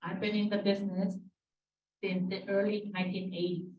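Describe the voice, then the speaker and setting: An older woman from Peru introducing herself as an escrow officer, speaking haltingly with fillers. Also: medium pitch, level tone, highs cut off, a little hiss or hum. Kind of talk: panel introduction. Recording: echoey room, distant mic.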